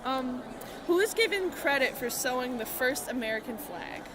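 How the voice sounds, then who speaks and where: A woman's voice: high voice, expressive, normal speed. A young woman interviewing people in a casual, chatty street quiz, informal and giggly in manner. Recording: busy public crowd noise behind.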